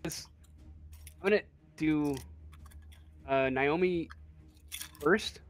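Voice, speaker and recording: A man acting as game master, improvising narration for a tabletop role-playing game in a halting way, thinking aloud. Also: fairly high pitch, slight background noise.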